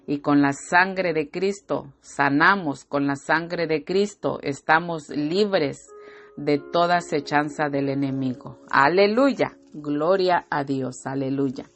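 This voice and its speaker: A woman with a low voice delivers a slow, fervent devotional sermon, sprinkling in hallelujahs and amens. Her throat sounds slightly irritated. Soft instrumental music plays faintly underneath. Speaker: preacher. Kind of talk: devotional sermon with scripture reading.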